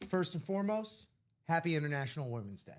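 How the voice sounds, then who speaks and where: A man's voice: a little high, big pitch swings, fast, noisy background. A young man co-hosting a live card break stream, playful, tossing in quips and bits of singing. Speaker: co-host. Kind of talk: casual live-stream banter.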